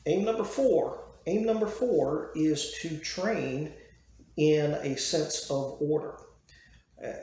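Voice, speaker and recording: A man in his sixties giving an unscripted woodworking lesson to a webcam in a dim small room, measured and full of "uh" fillers and restarts. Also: medium pitch, vocal fry, mellow. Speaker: woodworking educator. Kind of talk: unscripted talk to camera. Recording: very echoey room.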